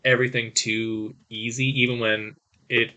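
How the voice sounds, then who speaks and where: Man, middle pitch, sharp bright tone, casual discussion, conversational, opinionated, podcast host. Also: little pitch movement, moderate pace, clear, resonant tone, poor audio.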